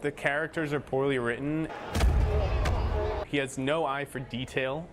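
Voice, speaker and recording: A man's voice: mid-range voice, fairly even intonation, a little slow. Matter-of-fact man in very heavy noise. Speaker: amateur boxing challenger. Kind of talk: interview answers. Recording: very noisy archive interview.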